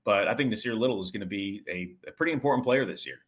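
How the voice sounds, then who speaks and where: Low-voiced man talking sports. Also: fast talker. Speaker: radio play-by-play sports broadcaster, guest. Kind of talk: sports interview analysis, conversational.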